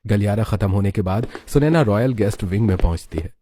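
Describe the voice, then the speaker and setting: Low-voiced male story narrator. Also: lively intonation, brisk.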